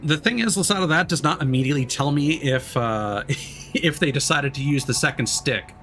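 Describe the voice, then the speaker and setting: A man's voice: fairly high pitch, expressive, normal speed, fairly bright tone, soft background music. A man livestreaming a game, chatting casually in an easygoing, rambling, hesitant way. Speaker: live streamer. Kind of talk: casual game stream chat.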